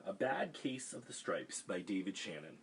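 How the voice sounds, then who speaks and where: A man reading a picture book aloud to children over a livestream, with gentle chatty asides. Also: low-pitched, very animated, normal speed, a little hiss or hum. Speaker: storytime reader for children. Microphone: webcam livestream.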